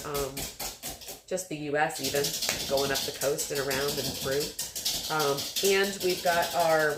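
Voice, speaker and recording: A woman's voice: sits low, noisy background. A woman in her fifties chatting casually as a livestream host, rambling with many fillers. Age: 50s. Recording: echoey room with background music.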